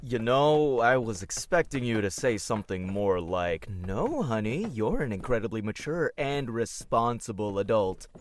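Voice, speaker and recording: A man's voice: on the low side, animated, normal speed, few pauses, a little hiss or hum, close to an everyday voice. A man playing a sardonic, teasing twenty-two-year-old older brother in an audio drama. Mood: teasing, sarcastic. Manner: dry, teasing.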